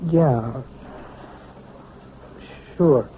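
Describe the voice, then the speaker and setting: An actor playing a shy, crestfallen young piano pupil in an old radio drama, halting and subdued. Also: male voice, mid-range voice. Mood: hurt, subdued. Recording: noisy, tinny phone-like old radio recording.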